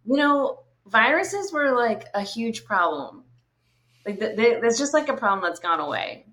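A woman's voice: a little high, very animated, a little slow, fairly bright tone. A woman in her thirties from Maine, a guest in a casual podcast interview, talking easily with lots of "like" fillers. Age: 30s. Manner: easygoing, many fillers like "like".

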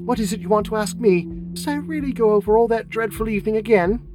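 A man's voice: high voice, quick pace, music in the background, voice pushed up, lightly acted. A man voicing a nervous, genteel English lady who talks in a plaintive, fluttery way.

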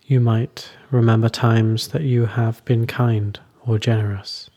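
Slow, soft-edged man guiding meditation. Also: medium pitch, level tone. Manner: soothing, unhurried, with long pauses between short phrases. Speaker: meditation teacher. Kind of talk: guided meditation.

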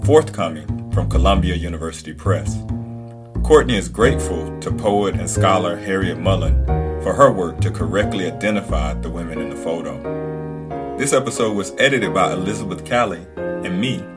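A man with a deep, low voice reads scripted credits for a podcast. Plucked guitar music plays loudly behind him. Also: moderate pace. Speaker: podcast editor reading credits.

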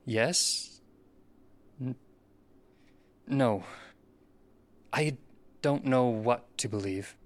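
A man playing an uncertain, deferential man in an audio drama, speaking in a hesitant, halting way as he seeks answers. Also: medium pitch. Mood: hesitant, conflicted. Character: uncertain, deferential man seeking answers about his father.

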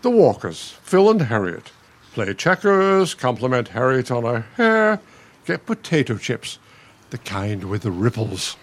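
Animated, grumpy older American husband played by an actor. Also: male voice, mid-range voice, unhurried. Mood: wry. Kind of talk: radio play acting.

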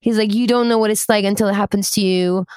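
Host: woman, mid pitch, dry close recording, gentle appreciative tone. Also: steady tone, fast, smooth, no room sound. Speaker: podcast co-host. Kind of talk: interview chat.

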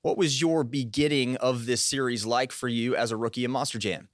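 A man's voice: medium pitch, steady tone, brisk, no echo, studio quality. A man hosting an interview show, asking questions in an upbeat, friendly way. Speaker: show host. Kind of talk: hosted interview.

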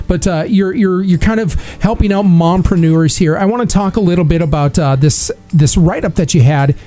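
A man's voice: high-pitched, normal speed, mellow. A man hosting a live local radio interview, eager and prone to restarting his words, with a slightly dry voice. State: dry voice, clearing throat. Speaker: radio show host.

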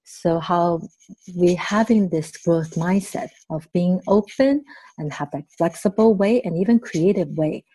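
A woman's voice: fairly low pitch, a little slow, warm tone, slightly rough, poor audio. A woman, speaking as a podcast guest and therapist in training, thoughtful and full of fillers and "right?" tags. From Taiwan. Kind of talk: podcast interview.

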